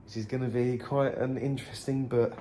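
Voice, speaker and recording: A man's voice: mid-range voice, flat delivery, a little fast, dark tone, slight background noise. A man, chatting and narrating casually as a travel vlogger. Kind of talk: casual vlog narration and chat. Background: music.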